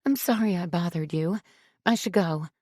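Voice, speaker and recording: A woman reading voices a distraught, tearful middle-aged mother whose words come out high and strained. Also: normal speed, a little mellow, dry recording, studio quality, close to an everyday voice. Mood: subdued.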